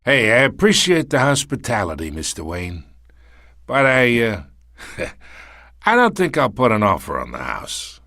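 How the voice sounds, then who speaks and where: A slick menacing mob boss for a video game, slangy and taunting, voice swings around a lot. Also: male voice, mid-range voice, huge pitch swings, unhurried, bright, forceful tone, dry recording, studio quality, full character voice. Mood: coolly threatening. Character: smug, menacing middle-aged male crime boss.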